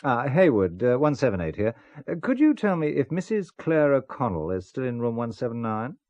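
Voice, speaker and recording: An actor playing a wry, flippant husband in a spy thriller audio drama, articulate and nonchalant. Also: male voice, medium pitch, big pitch swings, brisk, dry recording, highs cut off, lightly acted. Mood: businesslike.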